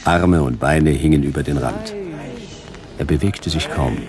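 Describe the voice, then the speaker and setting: A man playing a wry, laconic detective with a gravelly voice and a dry, clipped, deadpan delivery in a noir radio drama. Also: very deep voice, measured pace, crowd noise. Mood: deadpan narration. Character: hard-boiled male detective, wry and laconic. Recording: music behind the voice.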